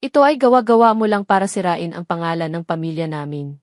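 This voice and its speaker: A woman's voice: fairly low pitch, fairly even intonation, quick pace, no echo, clean studio sound, close to an everyday voice. A woman voicing an indignant older aunt in an audio drama, stern and scolding.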